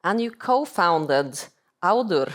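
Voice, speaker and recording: A young woman moderating a seminar on stage, speaking politely and formally in a measured way. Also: fairly low pitch, very animated. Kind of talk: formal onstage interviewing. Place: business school seminar stage.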